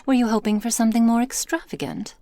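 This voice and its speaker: Lady doing a snooty cold witch for asmr roleplay, bossy n condescending, soft voice right up on the mic, no room sound. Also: a little high, animated, no room sound. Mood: mocking, disdainful. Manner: condescending, measured, formal diction, commanding. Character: cold, haughty witch, imperious captor of a werewolf. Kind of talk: ASMR roleplay, scripted character acting. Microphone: close studio mic, dry.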